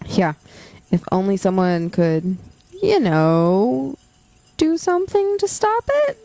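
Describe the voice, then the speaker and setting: A woman's voice: medium pitch, sing-song, takes it slowly, dark tone, dry recording. A woman playing a keen, friendly young campus journalist in a naturalistic audio drama, conversational and earnest. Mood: concerned.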